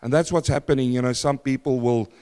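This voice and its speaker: A man preaching a sermon in a church, loud and emphatic, swinging from forceful declarations to hushed, quiet lines. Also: mid-range voice, fairly even intonation, a little fast. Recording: long hall reverb.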